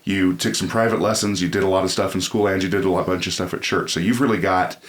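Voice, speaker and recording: A male podcast host with a very low, deep voice, chatting casually with a guest. He sums up what she says in long turns and keeps affirming her answers. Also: fairly even intonation, fast. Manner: friendly, affirming, talks in long summarizing turns. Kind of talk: casual interview chat.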